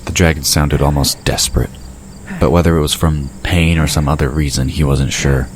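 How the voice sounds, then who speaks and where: Male narrator, extremely low voice, scripted fantasy storytelling, faint night-insect noise behind. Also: fairly even intonation, moderate pace.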